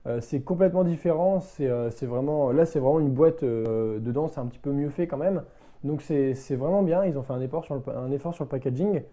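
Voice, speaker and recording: A man giving a casual, unscripted product review as a motorbike vlogger, in a hesitant, halting way that circles back to rephrase. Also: medium pitch, warm tone.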